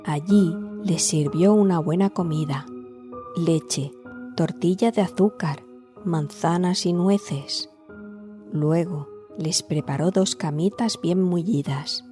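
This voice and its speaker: A woman narrating a scripted fairy tale as a storyteller, in a measured, dramatic way. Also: fairly low pitch, warm tone, faint music behind.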